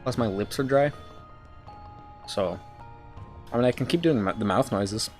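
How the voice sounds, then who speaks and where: A young man streaming a video game, talking to his chat in a soft whisper with mouth noises, his throat sore from whispering. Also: mid-range voice, moderate pace, faint music behind. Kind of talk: casual gaming commentary, trying ASMR-style whispering.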